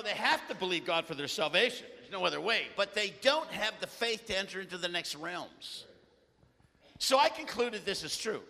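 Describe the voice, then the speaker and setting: A man preaching a sermon with a loud voice and animated storytelling, often clearing his throat. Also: sits high, long reverb tail.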